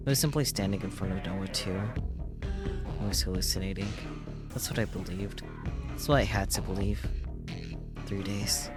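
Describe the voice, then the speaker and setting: A man streaming a visual novel, reading its narration aloud and dropping in casual asides. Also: low voice.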